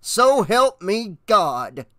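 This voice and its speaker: A man voicing a hot-tempered, blustering small-town father with a gruff growl in an audiobook. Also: high-pitched, moderate pace, a bit bright, dry recording, studio quality, voice pushed up. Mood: furious. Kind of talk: audiobook character voice.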